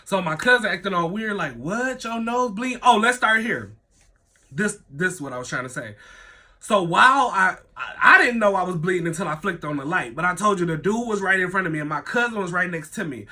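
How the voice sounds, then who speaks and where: A young man with a stuffy nose, telling a personal story in a casual vlog, animated, full of slang and "like", swearing freely. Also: a little fast. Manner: animated, acts out his own thoughts in a higher voice.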